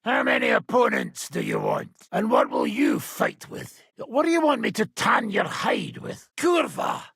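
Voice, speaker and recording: An actor playing a brash, hard-drinking medieval fighter and combat trainer in a video game, gruff and taunting, with a raspy, gravelly voice. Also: male voice, high-pitched, wildly swooping pitch, unhurried, a bit bright, no room sound, studio quality, heavily acted.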